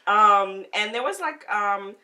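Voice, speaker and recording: A woman's voice: medium pitch, lively intonation, takes it slowly, sharp tone. Chatty woman guest, a bit distant from the mic. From South Africa. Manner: chatty storyteller, laughs often. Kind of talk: casual interview chat.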